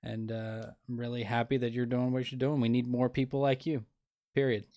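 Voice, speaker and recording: A man's voice: medium pitch, flat delivery, a little fast, mellow. Male show host, casual and earnest, rambles through restarts and fillers. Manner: earnest, opinionated. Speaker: podcast host. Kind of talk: casual interview.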